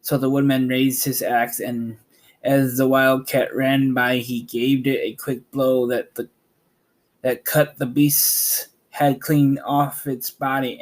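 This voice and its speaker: Slow-reading man narrating a storybook. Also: mid-range voice. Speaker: host reading a book aloud.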